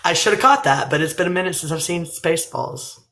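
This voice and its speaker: A woman's voice: on the low side, expressive, brisk, a bit bright. A woman from Texas with a Texan accent, hosting a live chat, teasing and swearing between laughs. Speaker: livestream host.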